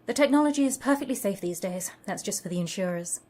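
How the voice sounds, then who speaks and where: A woman's voice: medium pitch, expressive, fast, a little mellow, close to an everyday voice. A woman playing a reassuring, businesslike clinic clerk in a science fiction audio drama. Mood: calm, reassuring.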